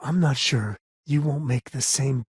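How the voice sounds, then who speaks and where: A man's voice: mid-range voice, measured pace, breathy edge, no echo, studio quality. An actor playing an easygoing, roguish middle-aged space cowboy and devoted father in a video game, with folksy, wry, laid-back phrasing. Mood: earnest. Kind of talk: video game character dialogue.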